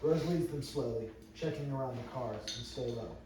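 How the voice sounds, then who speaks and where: A man with a mid-range voice narrates screen directions at a table read. He reads from the script in a heavily reverberant room, some way from the mic, with clear background noise. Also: mid-range voice, moderate pace. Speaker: narrator reading stage directions. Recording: very echoey room, distant mic, noisy.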